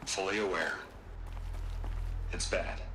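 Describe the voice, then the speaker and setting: A man's voice: low voice, fairly even intonation, slow, background noise. A man playing a rattled, defensive tech tycoon in a video game, with an agitated, pleading manner. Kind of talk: dramatic video game acting.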